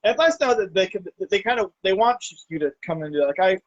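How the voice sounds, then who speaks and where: A man chatting over a video call from his hot tub, talking in a halting way with many restarts. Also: high voice, expressive, no echo, dull audio. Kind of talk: casual chat. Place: home hot tub, outdoors in a storm.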